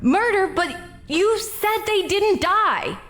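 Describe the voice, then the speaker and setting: A woman's voice: very high voice, lively intonation, fairly bright tone, full character voice. An actress playing a bitter, defiant woman who confesses a killing in a radio drama. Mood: baffled. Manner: bitter, defiant, rushed when reliving the fight.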